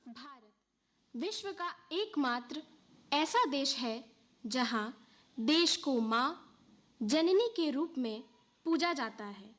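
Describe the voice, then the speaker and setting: Female stage narrator, high pitch, slow poetic declamation from a script. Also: animated, fairly bright tone.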